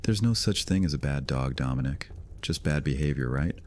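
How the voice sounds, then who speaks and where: Male actor as a sarcastic, teasing vampire. Extremely deep, casual delivery. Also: lively intonation, normal speed, a little mellow, a little hiss or hum. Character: cocky male vampire loner, sardonic and teasing. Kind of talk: fantasy audio drama acting.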